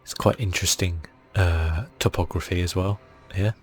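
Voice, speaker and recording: A man giving soft-spoken, musing ASMR gameplay commentary in a husky, breathy voice that sometimes nears a whisper. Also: low-pitched, steady tone, moderate pace, soft background music. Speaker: gaming video host.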